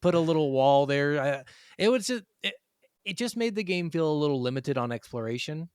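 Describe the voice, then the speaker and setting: A man's voice: fairly high pitch, lively intonation, fairly quick, a little mellow, smooth, no room sound. A man co-hosting a podcast, chatting casually about games in an emphatic way with plenty of swearing. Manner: profane, emphatic. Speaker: podcast co-host. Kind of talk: casual gaming review chat.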